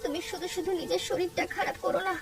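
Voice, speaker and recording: Cartoon wife, sickly and worried, woman performer high pitch, brisk delivery. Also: fairly even intonation, creaky. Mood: plaintive. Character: ailing, fretful young village wife. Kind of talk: Bengali cartoon story dialogue.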